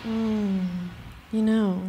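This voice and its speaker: A woman's voice: mid-range voice, flat delivery, very slow, warm tone, faint music behind. An actress playing a seductive, commanding immortal witch in a radio drama revival, coaxing and self-assured. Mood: commanding, alluring.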